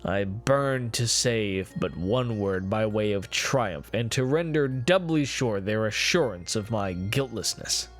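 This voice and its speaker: A man playing an unhinged, gloating murderer confessing his crime in a theatrical, deliberate gothic horror reading. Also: on the low side, expressive, soft background music. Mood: smug calm. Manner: theatrical, ornate, deliberate phrasing with swelling emphasis.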